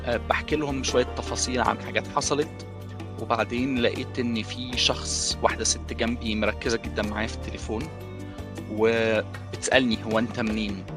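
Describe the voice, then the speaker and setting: An Egyptian man with an Egyptian Arabic accent, recounting a personal experience as an interviewee, pausing often with drawn-out fillers. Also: mid-range voice. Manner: thoughtful, pauses with drawn-out fillers.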